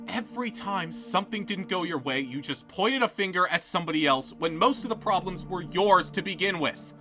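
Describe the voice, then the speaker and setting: A man voicing a furious, vindictive female contestant who is a mother, profane and cutting, bursting into shouting. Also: high-pitched. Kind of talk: fan reality-show parody drama.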